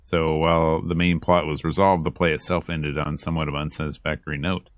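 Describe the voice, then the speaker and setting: A man reading a visual novel aloud as a let's player, in measured, dramatic storytelling narration over game music. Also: a bass voice, level tone, no echo, studio quality.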